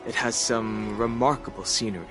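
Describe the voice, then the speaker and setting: A man voicing a composed, thoughtful traveler in a fantasy game, speaking in a measured, articulate way. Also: lowish voice, fairly even intonation, over music, natural voice. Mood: calm, reflective. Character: composed, thoughtful adult male traveler companion. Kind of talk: voice acting in a fantasy game cutscene.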